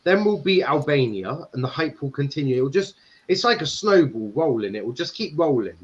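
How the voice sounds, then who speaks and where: A man's voice: a little high, sing-song, fairly quick, muffled recording. An English man hosting a casual football watchalong, bantering and reading viewer comments aloud. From England. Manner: banter, reads chat comments aloud, laughs often. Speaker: stream host.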